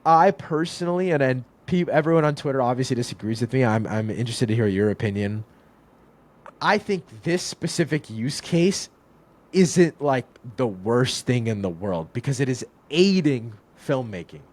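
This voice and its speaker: A man's voice: mid-range voice, expressive, normal speed. A young man in casual podcast talk about films, rambling with lots of filler words and restarts. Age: young adult. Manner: rambling, full of "like" and "you know what I mean". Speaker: podcast co-host. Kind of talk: casual film discussion.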